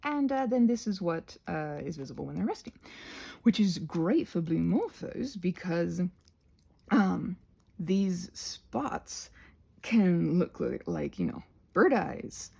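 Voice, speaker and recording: Woman playing a gravelly, rambling crafty goblin. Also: on the low side, very animated, darkish tone, exaggerated voice. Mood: chatty, earnest. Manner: rambling, halting, goes off on tangents.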